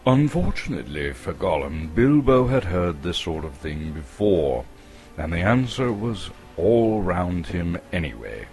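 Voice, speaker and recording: A man from Canada narrating a story as a theatrical, expressive dramatic reading. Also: deep voice, measured pace, faint music behind.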